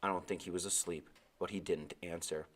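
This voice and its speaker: A man with a fairly low voice reads a storybook aloud. He delivers it as scripted narration, in the role of the narrator. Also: fairly even intonation, a little fast.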